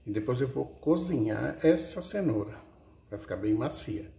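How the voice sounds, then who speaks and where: A man's voice: mid-range voice, expressive, moderate pace, vocal fry. A man cooking in his home kitchen, walking through each step with homely, diminutive-laden talk into a camera above the counter. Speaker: home cook, husband of the channel host. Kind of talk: casual cooking vlog narration. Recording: echoey kitchen with loud clatter of dishes.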